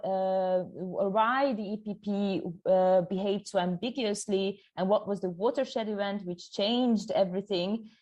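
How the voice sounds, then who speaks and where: A woman's voice: medium pitch, darkish tone. A young woman with a Hungarian accent putting questions at an academic seminar in a polite, somewhat hesitant way. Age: young adult. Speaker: audience questioner, PhD student.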